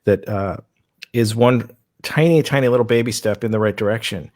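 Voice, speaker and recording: A man hosting a casual interview, speaking haltingly, repeating words and circling his way toward each question. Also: on the low side, a little mellow. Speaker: interview host.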